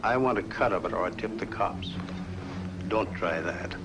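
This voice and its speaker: An actor playing a cunning, guarded circus owner and killer in a 1950s film noir. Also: male voice, low voice, normal speed. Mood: coolly threatening. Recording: noisy old film soundtrack.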